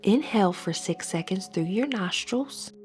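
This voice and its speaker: A woman leading a scripted guided meditation as an instructor, in a soothing, measured, softly breathy delivery. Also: mid-range voice, expressive. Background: soft background music.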